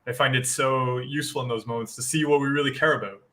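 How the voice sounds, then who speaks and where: A man's voice: medium pitch, fairly even intonation, quick pace, pushed and bright. A man who is a guest on a live chat, explaining his ideas in a reflective, encouraging way.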